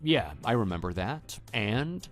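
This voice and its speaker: A man playing a level-headed, skeptical husband with a measured, hesitant delivery in an audio drama. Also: medium pitch, very animated, faint music behind. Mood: wary, impatient. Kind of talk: scripted texting-story radio drama.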